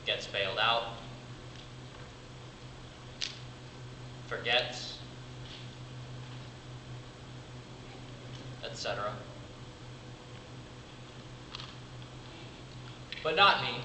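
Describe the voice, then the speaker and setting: A man playing a weary, devoted big brother in a theatrical stage monologue, speaking deliberately with dramatic pauses. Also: medium pitch, natural voice. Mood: grim, resigned. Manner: deliberate, dramatic pauses between short phrases. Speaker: amateur drama club actor. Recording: distant mic, echoey room, clearly noisy.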